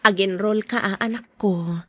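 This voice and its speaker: A woman's voice: medium pitch, moderate pace, lightly acted. A woman playing a caring, money-worried wife and mother in a podcast drama. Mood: reassuring. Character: caring wife and mother, anxious about money, soft-spoken.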